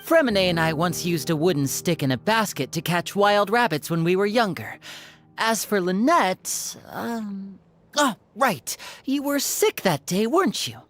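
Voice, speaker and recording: A woman's voice: mid-range voice, expressive, a little slow, soft background music, natural voice. A woman voicing a courteous, playful young stage magician in a video game, with polite, suave delivery. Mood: confident.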